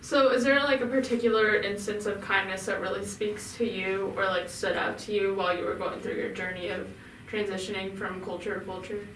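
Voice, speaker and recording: Chatty woman podcast host, mid-pitched, in an echoey room, away from the mic. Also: fairly even intonation, fairly quick, a bit bright, distant mic, a little hiss or hum. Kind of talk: podcast interviewing.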